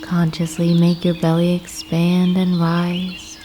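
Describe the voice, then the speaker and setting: Woman, lowish voice, gentle meditation guide, soothing delivery, clear birdsong and nature ambience behind. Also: monotone, a little hiss or hum. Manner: gentle, soothing, unhurried instruction with pauses.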